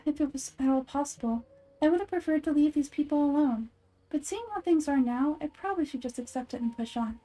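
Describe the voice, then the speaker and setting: A woman voicing a small, calm, wise girl deity from a game, gentle and measured. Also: high-pitched, close to an everyday voice. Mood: wistful.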